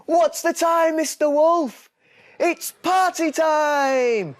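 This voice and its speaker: A man voicing tiny cheeky storybook animals in a squeaky, sing-song falsetto. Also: drawn-out words, heavily acted. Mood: excited.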